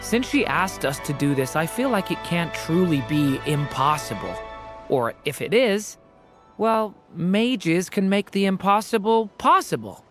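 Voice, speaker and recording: An actor playing an earnest, gentle, idealistic young male mage in a fantasy game, speaking sincerely and a little hesitantly. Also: high voice, sing-song, unhurried. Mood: earnest, thoughtful. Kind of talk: scripted game dialogue. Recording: music clearly under dialogue.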